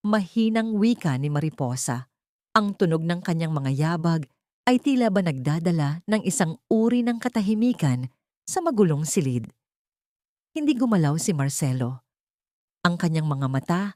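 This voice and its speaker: Female audiobook narrator, slightly low voice, dramatic scripted delivery, very dry recording. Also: a little mellow, no room sound.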